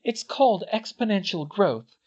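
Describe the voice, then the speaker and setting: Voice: mid-range voice, expressive, normal speed, a little mellow, muffled recording, pitched above the performer's own voice, natural voice. A reader voicing an earnest, insistent young girl student who speaks emphatically. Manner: emphatic, didactic, stammers when upset.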